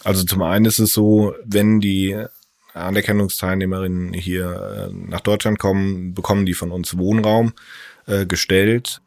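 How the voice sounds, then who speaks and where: Deep-voiced man, measured interview answers. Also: level tone, creaky, darkish tone, no echo, clean studio sound. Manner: measured, with frequent fillers. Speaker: hospital staff coordinating international nurses.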